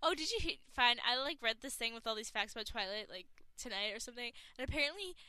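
Bright, clear young woman chatting. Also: a little high, lively intonation, fast, dry recording. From Boston area, USA. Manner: chatty, many fillers and restarts. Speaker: podcast co-host. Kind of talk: casual chat.

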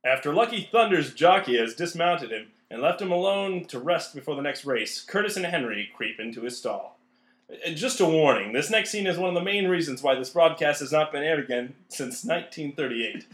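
A man's voice: on the high side, expressive, fairly quick, fairly bright tone, reverberant. A man co-hosting and narrating a comedy podcast, recorded in a room. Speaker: narrator and co-host.

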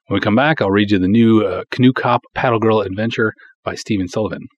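A man's voice: on the low side, fast, lo-fi sound, very noisy. A man hosting a podcast, giving an informal, conversational update in a casual monologue. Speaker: podcast host and filmmaker.

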